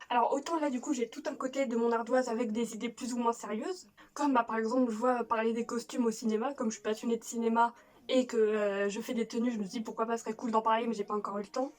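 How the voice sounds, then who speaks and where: A woman's voice: on the high side, darkish tone. A young woman from France chatting casually as a YouTuber in her room at home, self-mocking, with frequent laughs and restarts. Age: young adult. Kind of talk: casual vlog chat. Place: bedroom at home.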